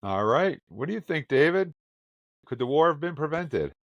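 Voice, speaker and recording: A man's voice: fairly high pitch, very animated, moderate pace, no echo, dull audio. A man hosting a podcast, chatting casually and calling on each panelist in turn. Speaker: podcast host and moderator. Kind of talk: casual chat.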